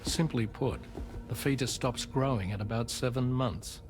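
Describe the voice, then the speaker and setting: A man's voice: mid-range voice, normal speed, natural voice. Actor doing a formal science guy for a game cutscene, grave and precise, medium voice, music going behind. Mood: grave, clinical. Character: clinical, learned adult male expert delivering grim briefing. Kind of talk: video game cutscene exposition.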